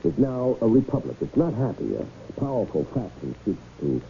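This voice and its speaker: An actor playing a smooth, scheming gentleman con artist in a radio drama, with courtly, halting salesman's patter. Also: medium pitch, sing-song. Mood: smooth pitching. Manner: hesitant, courtly salesman's patter with deliberate pauses. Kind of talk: 1970s radio drama acting. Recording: muffled, faintly noisy old radio broadcast.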